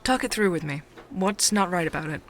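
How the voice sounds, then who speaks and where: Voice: mid-range voice, expressive, a little fast, faint music behind, close to an everyday voice. An actor playing a playful, supportive companion who teases an investigator in casual audio drama chat. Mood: playful, encouraging. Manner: playful, teasing.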